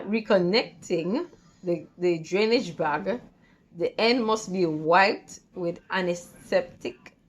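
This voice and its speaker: A woman tutor reading study material aloud in a careful, halting way, with stumbles and repeated words. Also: on the low side. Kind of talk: read-along lesson from a textbook.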